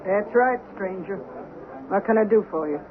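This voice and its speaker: A woman's voice: mid-range voice, animated, moderate pace, noisy background, natural voice. An actress playing a tough, brash, challenging saloon woman in a 1970s western radio drama. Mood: brash, threatening. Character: tough, brassy woman saloon keeper of the Old West. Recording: lo-fi vintage radio, narrow band, music.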